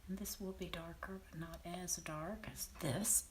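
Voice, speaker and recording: A woman with a Southern American accent and a raspy, half-whispered voice, calmly talking through a painting demonstration and often clearing her throat. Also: fairly low pitch, noisy background. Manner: unhurried, thinking aloud while painting, frequent throat clearing. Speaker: painting teacher.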